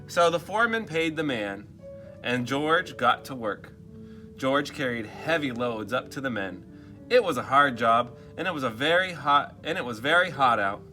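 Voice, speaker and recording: Man, animated storybook reading, very bright voice, casual questions to the kids. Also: mid-range voice, moderate pace, faint music behind. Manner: expressive, asks listeners questions in muttered asides. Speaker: teacher reading aloud to children. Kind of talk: animated storybook read-aloud with casual asides.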